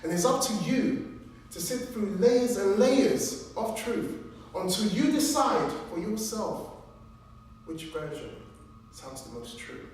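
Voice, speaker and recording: Actor as earnest male trial lawyer, highish voice, very unhurried stage oratory, heard in a reverberant hall. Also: lively intonation, a little mellow. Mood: solemn, measured. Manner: measured, emphatic, dramatic pauses. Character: earnest, deliberate trial lawyer addressing a jury. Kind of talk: theatrical courtroom monologue. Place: large echoing performance room, distant microphone. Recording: echoey hall from far off, faint noise.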